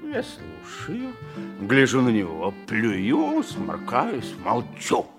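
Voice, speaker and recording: Boozy muddled ship captain, slow drawl, swings high and low, loud music behind, radio play. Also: male voice, huge pitch swings, exaggerated voice. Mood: drunken narrating. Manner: slurred, theatrical drunken drawl with exclamations. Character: drunken, gruff, forgetful sea captain, boastful and muddled. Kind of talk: theatrical audio play acting with narrated monologue.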